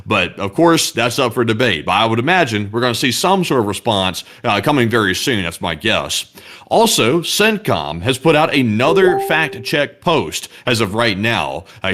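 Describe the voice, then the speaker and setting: A man hosts a live news commentary stream, talking through breaking updates with many pauses and fillers. His pitch sits in an ordinary middle range, and his delivery is loose and conversational. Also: a bit bright. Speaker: host.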